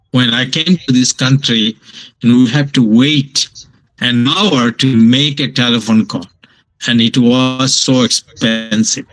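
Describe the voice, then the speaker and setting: Slow-speaking older man lecturing from Bangladesh. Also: mid-range voice, fairly bright tone, rough recording. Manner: slow, halting delivery with many fillers and restarts. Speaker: guest lecturer. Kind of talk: academic lecture over video call. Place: home.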